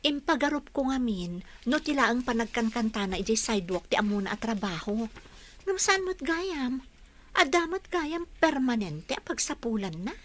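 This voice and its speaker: A woman's voice: a little high, very animated, normal speed. A young woman acting a sincere, heartbroken young nurse in an Ilocano radio-style drama, speaking earnestly. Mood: shy, smitten. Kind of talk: Ilocano audio drama dialogue.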